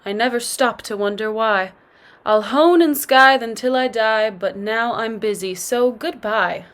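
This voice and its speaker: A woman's voice: a little high, a little slow, fairly bright tone. A woman voicing a blithe male peasant in a storybook, reciting simple rhymes in a plain manner. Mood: blithe, dismissive.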